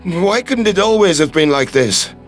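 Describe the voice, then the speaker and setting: A man's voice: high voice, expressive, normal speed, soft background music, voice pushed down. Man playing an emotional, heartfelt male astronaut. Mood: tearful, moved. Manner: tearful, heartfelt. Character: emotional human male astronaut, humble, overwhelmed.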